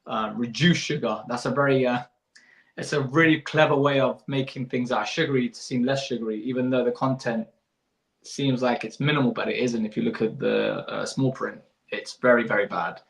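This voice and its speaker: A man in his thirties with a noticeably gravelly, fry-laden voice at a medium pitch. He speaks as a coach in an informal interview, explaining things and rewording as he goes. The recording has clear room echo. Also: steady tone, moderate pace. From born in Iraq, moved abroad as a young child. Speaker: fitness coach and business owner. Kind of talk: casual informative interview answers.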